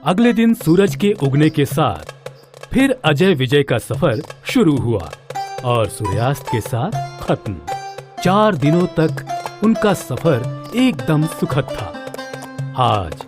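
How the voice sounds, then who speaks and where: A man's voice: fairly high pitch, very animated, takes it slowly, music in the background. A man narrating a scripted children's story as its storyteller.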